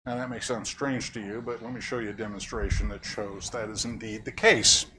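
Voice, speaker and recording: A man's voice: on the low side, steady tone, a little fast, noisy background. A man giving a lecture, explaining ideas conversationally, quizzing audience members and cracking wry jokes. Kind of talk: academic lecture with audience questions. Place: lecture room.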